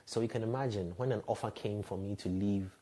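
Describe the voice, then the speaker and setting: A man's voice: on the low side, steady tone, fairly quick, mellow, breathy edge. A man, a radio and TV presenter telling frank, reflective stories as a podcast guest. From Ghana. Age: 30s. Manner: reflective, frank, laughs lightly at himself. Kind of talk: candid conversational storytelling.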